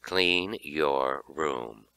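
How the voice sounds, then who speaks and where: A man's voice: rumbling low, pushed and bright, clean tone, voice pushed down, full character voice. A man voicing a stern, exasperated mother in an audiobook, scolding and demanding. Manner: slow, demanding. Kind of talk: acted audiobook dialogue.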